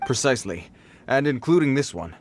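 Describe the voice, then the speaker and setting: A man acts a well-meaning, awkward guard on patrol in a video game. His formal, earnest delivery sits at a middle pitch but moves about a lot, over faint ambient noise. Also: expressive, brisk, close to an everyday voice. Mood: stern warning. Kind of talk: video game dub acting.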